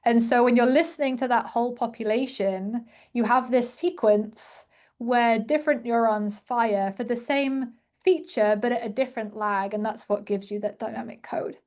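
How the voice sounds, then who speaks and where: Young female academic, slightly high voice, chatty Q&A, lots of restarts. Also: normal speed, a little mellow. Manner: thinks aloud with frequent fillers and restarts. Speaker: invited speaker answering questions. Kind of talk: academic talk Q&A.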